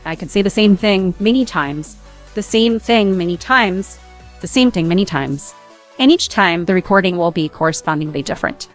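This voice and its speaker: A woman's voice: lowish voice, lively intonation, normal speed, soft background music. A woman in her thirties speaking in an explanatory podcast style on a broadcast mic. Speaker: company lab head and podcast presenter. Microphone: broadcast podcast mic, headphones.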